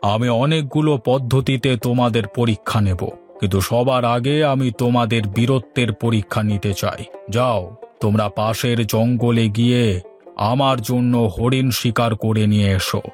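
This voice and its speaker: A man's voice: mid-range voice, fairly even intonation, a little slow, a little mellow, soft background music, lightly acted. A man voicing a stately old landlord father in a cartoon, speaking formally and with command. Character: stately old father, landlord testing his two sons. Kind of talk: Bengali cartoon dubbing.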